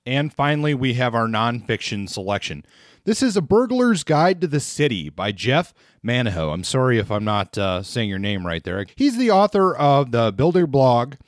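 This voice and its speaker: A male podcast host talks through a stack of crime novels in a loose, unhurried way, full of small fillers and restarts. His voice sits in a middle register. The sound is dry and close. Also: mid-range voice, dry recording. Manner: relaxed, hesitant with many fillers. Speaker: podcast host reviewing books. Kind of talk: casual book review talk.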